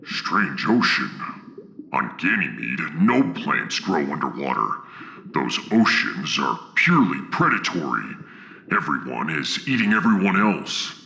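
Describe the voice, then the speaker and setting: Very deep, gravelly alien warrior. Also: male voice, big pitch swings, unhurried, pushed and bright, heavily acted. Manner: grave, proud, formal speech. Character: solemn, fierce, devout warrior from a harsh moon. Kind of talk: science fiction audio drama acting.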